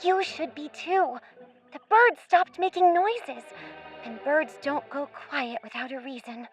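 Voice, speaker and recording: A woman's voice: high voice, a little slow, soft background music. A woman playing an anxious but sensible young girl castaway in a radio play, urgent and bossy. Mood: fearful.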